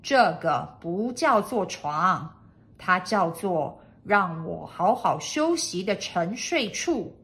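A woman's voice: lowish voice, big pitch swings, slow pace, fairly bright tone, heavily acted. A woman voicing a pompous, bossy, eccentric lord in a storybook, speaking in an emphatic, commanding way. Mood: haughty, correcting. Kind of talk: storybook character acting.

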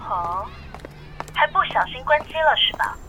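Casting: stern young sister in radio drama, bright forceful highish voice, accusing, noisy phone-scene recording. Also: female voice, animated, normal speed. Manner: sharp, interrogating. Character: stern, suspicious young woman, bossy busy sister. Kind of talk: modern romance radio drama acting.

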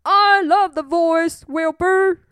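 Storybook elephant hero played by a woman: high voice, very slow, polite, firm, close dry sound. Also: steady tone, a bit bright, no echo, exaggerated voice. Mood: pleased.

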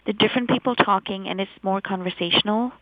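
A woman's voice: mid-range voice, fast talker, no echo. A middle-aged woman from India with an American-leaning English accent, chatting as an interview guest and telling stories with enthusiasm. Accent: American-leaning English picked up in Taiwan. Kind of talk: casual interview chat. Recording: dull lo-fi sound.

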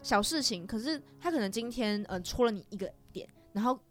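A woman with a Taiwanese accent hosting a casual chat, telling things in an animated way and acting out little remarks. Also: fairly high pitch, brisk, faint music behind. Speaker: podcast host.

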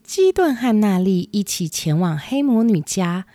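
A woman's voice: medium pitch, big pitch swings, a little slow, mellow, no echo. A woman narrating a scripted children's story as the storyteller.

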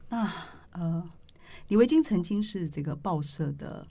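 A middle-aged woman with a Taiwanese Mandarin accent hosts a podcast interview, telling stories conversationally in deliberate, halting phrases. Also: fairly low pitch, lively intonation, vocal fry, dark tone. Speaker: podcast host, publishing editor-in-chief. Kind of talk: conversational interview with reflective storytelling.

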